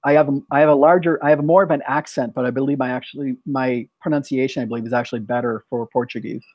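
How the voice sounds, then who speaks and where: A man's voice: medium pitch, fairly even intonation, fast talker, no echo, dull audio. A young man hosting an English practice video call, telling stories animatedly with frequent restarts. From United States. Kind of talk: casual chat over video call.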